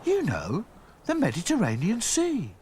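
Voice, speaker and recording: A man's voice: high-pitched, huge pitch swings, measured pace, a little mellow, a little hiss or hum, voice pushed up, heavily acted. A man voicing a cheerful, chuckling male rag doll in a cartoon.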